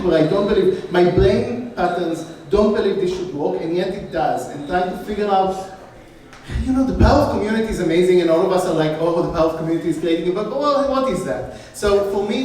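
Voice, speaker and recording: A man's voice: high voice, a little mellow. A man with an Israeli accent, an investor on a conference panel, self-deprecating and contrarian, laughing often. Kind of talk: casual panel talk. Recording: roomy hall, distant mic, faint hum.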